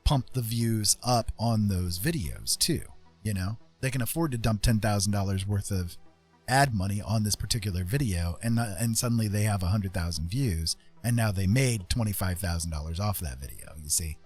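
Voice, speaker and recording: A man's voice: on the low side, moderate pace, a little mellow, husky. A man chatting casually as a livestream host, explaining things in a rambling, emphatic way and repeating phrases for stress. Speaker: streamer host. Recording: music playing behind the voice. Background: music.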